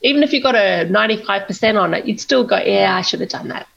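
Gravelly, chatty woman. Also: medium pitch, animated, quick pace, fairly bright tone, dull audio. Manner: chatty, self-deprecating, laughs often. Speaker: novelist guest. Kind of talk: candid conversational interview.

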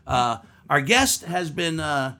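A man's voice: on the high side, very animated, takes it slowly, heavy background noise. A man hosting a casual podcast chat, joking and laughing often with his guest. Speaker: podcast host.

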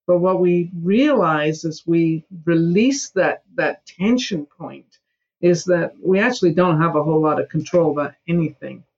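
A woman's voice: lowish voice, normal speed, darkish tone, dull audio. A woman in her seventies from Scotland, a guest in a podcast interview, speaking emphatically and sometimes mimicking whiny excuses. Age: 70s.

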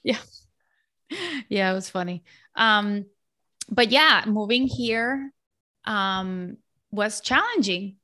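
A woman's voice: mid-range voice, slow, pauses often, sharp tone. A woman from Colombia in her forties, a guest talking in an outgoing, chatty way with many fillers and restarts. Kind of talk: casual interview chat.

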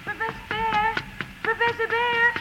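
A woman's voice: very high voice, monotone, unhurried. An actress playing a sincere, passionate young woman writer in a 1950s radio drama, earnest and emotional. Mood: urgent, excited. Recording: old radio broadcast with music.

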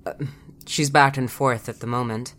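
A woman playing a hesitant, apologetic, gentle caretaker in an audio drama, stammering as she talks. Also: very deep voice, steady tone. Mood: awkward, subdued. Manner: halting, stammering.

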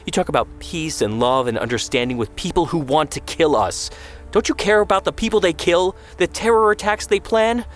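Audiobook role: sullen teenage son, snappy and sarcastic, pitched up in protest male narrator acting it. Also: lively intonation, moderate pace, few pauses, soft background music, lightly acted. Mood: defiant outburst. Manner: sarcastic, petulant, snapping back.

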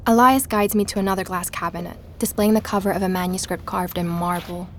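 A woman's voice: lowish voice, fairly even intonation, fairly quick, a bit bright, slight background noise, lightly acted. A woman acting in an audio drama as an inquisitive young researcher who tells of her travels with earnest wonder. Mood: reflective narration. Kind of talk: audio drama narration and natural dialogue.

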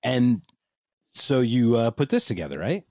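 A man's voice: medium pitch, sing-song, measured pace, dark tone, dry recording, studio quality. A man hosting a casual podcast interview, bantering and joking with his guests. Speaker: podcast host.

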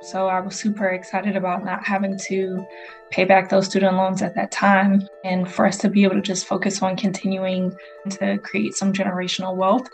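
Flat-voiced woman in her thirties. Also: medium pitch, normal speed, faint music behind, low-quality recording. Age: 30s. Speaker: interviewee.

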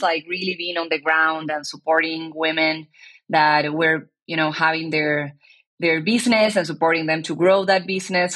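A woman's voice: deep voice, a bit bright. A woman, a startup founder speaking earnestly as an interview guest and often restarting her sentences. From Argentina. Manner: earnest, searching for words with many restarts. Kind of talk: casual conversational interview.

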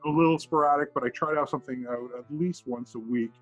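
A man with a Canadian accent, chatting casually and haltingly as a podcast guest. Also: medium pitch, fairly even intonation. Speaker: podcast guest, video blogger. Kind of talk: casual interview chat. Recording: dull lo-fi audio, faint guitar music.

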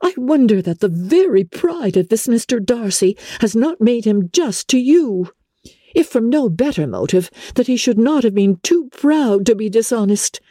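A woman acting a high-pitched, indignant young gentlewoman. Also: animated, normal speed, no echo, clean studio sound. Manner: emphatic, incredulous. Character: indignant, spirited young English gentlewoman of Regency novel. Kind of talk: dramatic audiobook reading.

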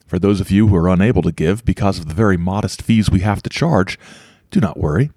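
Actor as deep, husky, preaching guru. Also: male voice, quick pace, warm tone, dry recording, studio quality. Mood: earnest, persuasive. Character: earnest male spiritual guru and Vietnam veteran preaching to recruits. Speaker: actor in an audio play. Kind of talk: earnest speech to followers.

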